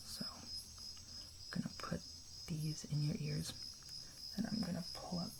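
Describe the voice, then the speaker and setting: An ASMR roleplayer playing a kind, reassuring camper, whispering in a hushed, soothing way and gently checking in. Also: mid-range voice, flat delivery, slow, vocal fry, heavy background noise. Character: kind, reassuring camper looking after a stranger.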